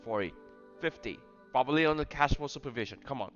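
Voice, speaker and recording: A man giving casual gameplay commentary in a halting, rambling way, often repeating his phrases. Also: mid-range voice, a bit bright, highs cut off, faint music behind. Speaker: gamer commenting on his own play.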